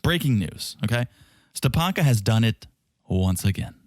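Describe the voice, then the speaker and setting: A man co-hosts a casual podcast, joking and teasing in a playful way. His voice swings widely in pitch. The sound is dry and close, with no room around it. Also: moderate pace, no echo. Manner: playful, teasing, animated delivery. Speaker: podcast co-host. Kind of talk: casual comedic chat.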